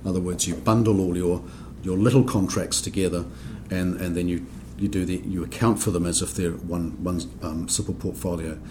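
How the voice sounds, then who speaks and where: A man from New Zealand with a New Zealand accent, explaining accounting rules on a podcast in a measured way, with frequent ums and restarts. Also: low-pitched, fairly even intonation, background noise. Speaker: accounting standards board member. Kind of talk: podcast discussion.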